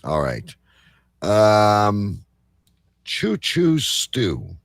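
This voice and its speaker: A man hosts a live quiz show in a low, very animated voice. He takes his time and announces like a showman, recorded dry. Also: drawn-out words, creaky, no room sound. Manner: showman-like announcing, addresses audience. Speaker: game show host.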